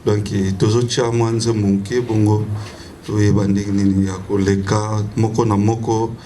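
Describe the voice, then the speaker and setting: A man's voice: lowish voice, flat delivery, measured pace, warm tone, slight background noise. Man casually announcing funeral plans. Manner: casual, practical announcements mixing in French. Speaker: funeral master of ceremonies.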